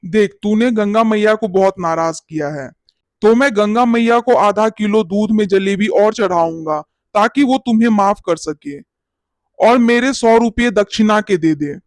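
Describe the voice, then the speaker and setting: A man's voice: high-pitched, unhurried, no room sound, clean studio sound. A man playing a greedy, glib and bossy village priest in a cartoon bedtime story, scolding and cajoling. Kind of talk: animated bedtime story character acting.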